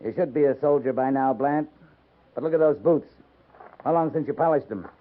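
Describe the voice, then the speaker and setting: An actor playing a stern, fair-minded American cavalry captain in a 1950s radio drama, speaking in a clipped, commanding way. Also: male voice, medium pitch, moderate pace, lightly acted. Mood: stern reprimand. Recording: muffled, noisy 1950s radio recording.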